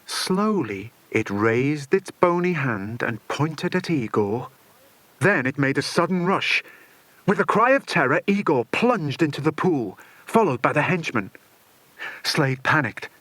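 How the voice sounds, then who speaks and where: Animated male audiobook narrator. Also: fairly high pitch, unhurried, no room sound. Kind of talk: dramatic scripted children's story narration.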